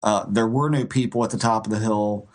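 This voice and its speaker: A man's voice: fairly low pitch, fairly even intonation, fairly quick. A man co-hosting a podcast, telling a ghost-hunting story in a rambling, casual way with frequent restarts and light laughs. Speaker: co-host.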